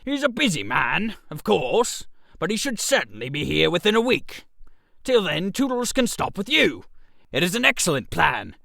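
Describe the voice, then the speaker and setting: A man's voice: high voice, sing-song, normal speed, creaky, pushed and bright, no room sound, studio quality, exaggerated voice. A man voicing a genial, oblivious older father with a breezy, chatty manner in a comic radio story. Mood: blithely presumptuous.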